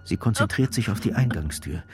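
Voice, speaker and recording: A man's voice: fairly low pitch, expressive, fairly quick. A man reading scripted dramatic narration as the narrator of a radio play. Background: music.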